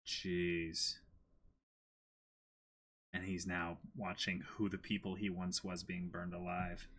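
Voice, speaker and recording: A man's voice: deep voice, little pitch movement, takes it slowly, a bit bright. A man in his twenties who switches between reading a story aloud dramatically and chatting casually about it. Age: 20s. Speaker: host reading a manga aloud and reacting. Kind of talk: dramatic reading mixed with casual reaction chat. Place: small indoor room against a plain backdrop.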